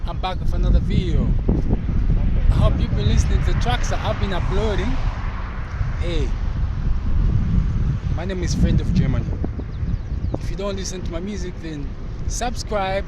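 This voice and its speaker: A man vlogging outdoors beside a busy road, talking slowly to his viewers and tagging sentences with "okay?" and "all right?". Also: high-pitched. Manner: slow, repetitive, keeps checking in with "okay?". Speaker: vlogger and musician. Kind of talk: casual vlog talk to camera. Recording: loud roadside traffic noise. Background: loud traffic noise.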